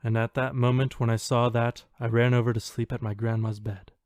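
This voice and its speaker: A frightened ghost-story teller, played by a man recites an encounter with dramatic, emphatic delivery. The voice is medium in pitch and recorded dry, in podcast storytelling style. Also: level tone, a little fast, a little mellow, no echo, natural voice.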